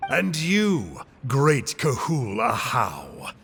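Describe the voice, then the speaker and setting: A man's voice: medium pitch, huge pitch swings, extremely slow, gravelly, fairly bright tone, faint music behind, exaggerated voice. An actor playing a bookish, philosophical man in a video game, with erudite, theatrical phrasing. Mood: grandiose, philosophical.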